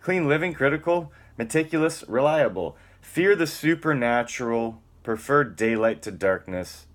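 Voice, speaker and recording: Man, mid-pitched voice, very animated melody, theatrical poetry-style reading, emphatic phrases, muttered offhand asides. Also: moderate pace. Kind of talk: dramatic spoken-word reading of texts and lyrics with casual asides.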